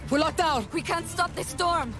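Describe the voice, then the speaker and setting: A woman's voice: very high-pitched, normal speed, over music. A woman playing a resolute, stern army commander in a video game, speaking with urgent authority. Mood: urgent, commanding. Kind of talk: cinematic video game acting.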